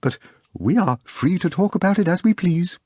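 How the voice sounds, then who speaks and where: Brief: a man playing a lecturing editor in a storybook reading, pitched high, husky edge. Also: lively intonation, moderate pace, darkish tone, dry recording, clean studio sound. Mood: polite. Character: learned middle-aged male editor lecturing.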